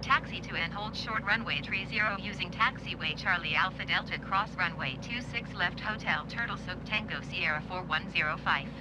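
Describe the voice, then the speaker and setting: A pilot making clipped radio calls and reading back taxi clearances in standard phraseology. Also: medium pitch, normal speed, few pauses, very noisy.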